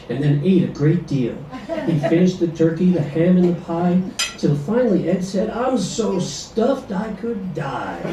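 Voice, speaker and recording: A man reciting a comic rhyming poem theatrically, with a dramatic delivery for an audience. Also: fairly high pitch. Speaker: reader performing a comic poem. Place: club performance room. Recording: echoey, noisy stage recording from far off.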